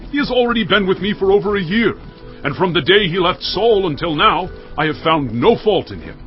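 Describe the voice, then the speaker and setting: A man's voice: sits high, lively intonation, fairly quick. A man playing a firm, earnest warlord king in an audio Bible drama, speaking in an emphatic, declamatory way. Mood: insistent. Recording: muffled dramatized recording with faint music.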